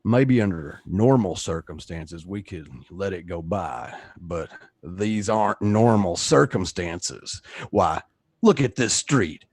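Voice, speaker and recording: A man's voice: low-pitched, animated, takes it slowly, darkish tone, slightly rough, no room sound, studio quality, heavily acted. Man acting a folksy, suspicious neighborhood bully. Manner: folksy, accusatory. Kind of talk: radio play acting.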